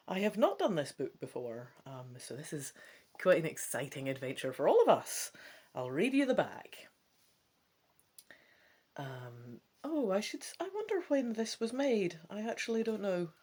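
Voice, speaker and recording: A woman hosting a solo gamebook let's play, mixing dramatic read-aloud with chatty, musing asides. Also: on the low side. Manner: chatty, musing asides between expressive reading.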